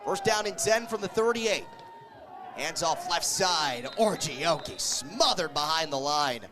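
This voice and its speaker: A man with a high-pitched voice gives live radio play-by-play of American football. He speaks as the announcer, following each movement on the field in short, vivid, clipped phrases. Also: expressive, measured pace, over music, a crowd in the background. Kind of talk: live sports play-by-play commentary.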